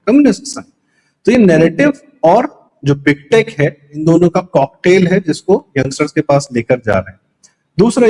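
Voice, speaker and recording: A man's voice: on the high side, darkish tone, low-quality recording. A man giving opinionated political commentary in a conversational, explanatory way, emphatic and mixing in English words. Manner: emphatic, poses rhetorical questions and answers them; mixes English words into speech.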